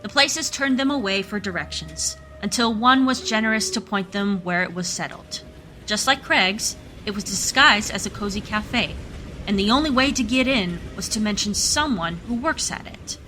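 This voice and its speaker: A woman's voice: medium pitch, moderate pace, sharp tone, low-quality recording. Woman narrating a radio play over music. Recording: music playing behind the voice.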